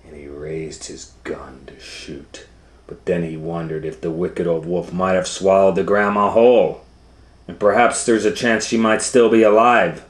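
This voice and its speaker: A man reading a children's story aloud as host, dramatically, moving between hushed and loud. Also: deep voice, fairly even intonation, measured pace. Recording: faint background noise.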